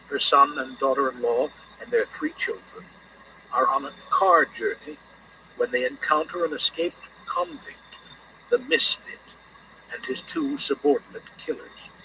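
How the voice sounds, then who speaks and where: A man narrating a scripted literary audiobook in a measured, deliberate reading manner. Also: a little high, recorded from a distance, background noise.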